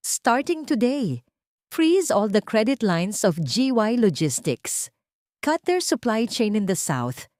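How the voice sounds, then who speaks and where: Brief: woman narrator as vengeful rich female executive, cutting and controlled, lively pitch movement, close dry audio-drama sound. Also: slow, no echo. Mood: commanding. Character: cold vengeful wealthy female boss, a betrayed wife.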